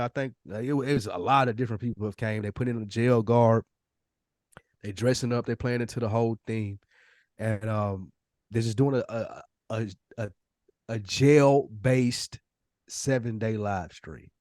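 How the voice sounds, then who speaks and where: An American man of about forty hosts a podcast in an easy, slangy style. His voice sits at a medium pitch for a man, and the sound is dry, with very little room on it. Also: steady tone, normal speed, darkish tone, no room sound. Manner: slangy, conversational. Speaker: podcast host. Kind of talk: casual podcast talk.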